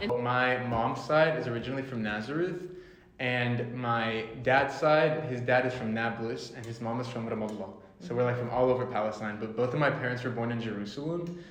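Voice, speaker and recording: A man raised in Texas telling his family history in a casual, quick way. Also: medium pitch, echoey room. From Los Angeles, then Texas. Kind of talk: documentary interview.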